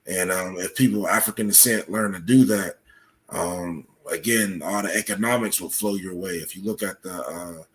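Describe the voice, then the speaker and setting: Low-voiced man explaining at length. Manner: halting, deliberate, filler-heavy delivery. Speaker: podcast guest, soccer coach. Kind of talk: conversational interview, explaining at length.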